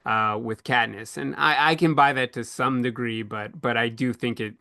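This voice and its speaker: A man hosts a casual podcast panel with a bright, forward voice and a very dry, close sound. He speaks reflectively and conversationally as he moderates the discussion. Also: mid-range voice, steady tone, normal speed, gravelly, dry recording.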